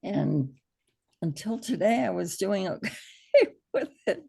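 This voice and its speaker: A middle-aged American woman with a gravelly, hoarse allergy voice, informally teaching an online hypnosis class in an encouraging, reassuring way. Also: mid-range voice, lively intonation, takes it slowly, warm tone.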